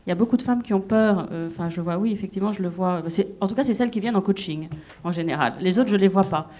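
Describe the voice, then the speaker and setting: A woman's voice: medium pitch, quick pace, dark tone. A woman career coach speaking on a conference panel, thoughtful and hesitant with many fillers. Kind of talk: panel discussion answer.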